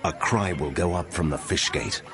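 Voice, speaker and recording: An actor voicing a wrathful God pronouncing judgment in solemn, commanding declamation for a dramatized scripture reading. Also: male voice, rumbling low, moderate pace.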